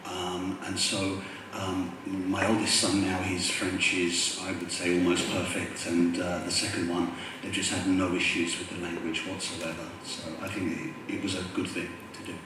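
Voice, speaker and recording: A man from England on a parents' panel, speaking in a measured way with steady hesitations. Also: deep voice. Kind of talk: panel discussion. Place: school hall. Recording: echoey hall, distant mic, noise.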